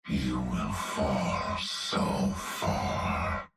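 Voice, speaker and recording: An actor voicing a cruel, menacing male cyborg executioner, with a deep gravelly voice muffled as if through a gas mask, delivered slowly and subdued. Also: fairly even intonation, music in the background, heavily acted. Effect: muffled mask filter. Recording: echoey game audio played back from a distance.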